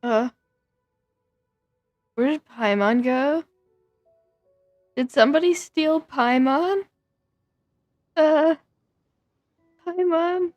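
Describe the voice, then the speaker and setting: Brief: young woman game streamer, high voice, slow and mumbly, dry close sound with game music behind. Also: dry recording, lo-fi sound. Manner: soft, drawn-out muttering reactions. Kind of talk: casual gameplay commentary.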